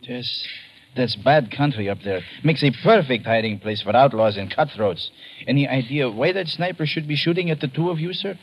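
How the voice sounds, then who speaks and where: Actor as animated, courteous plantation gentleman. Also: medium pitch, normal speed, lo-fi sound, natural voice. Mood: gracious, measured. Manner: formal, courteous. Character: gracious, courteous Latin American plantation owner. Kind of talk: old radio drama acting. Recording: old radio recording, faint hiss.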